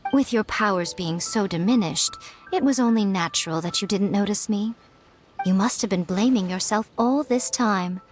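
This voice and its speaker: A woman plays a powerful fox spirit priestess in a video game, with a medium-pitched voice. The speech is elegant and self-assured, with a teasing composure. Faint music sits underneath. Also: normal speed, close to an everyday voice. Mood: calm, knowing.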